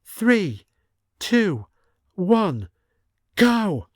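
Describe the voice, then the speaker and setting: A man's voice: high-pitched, sing-song, very slow, dry recording, studio quality, voice pushed up, heavily acted. A man voicing a bossy, take-charge woman leading her friends in an audiobook story. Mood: urgent. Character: bossy, impatient woman leading a ragtag band.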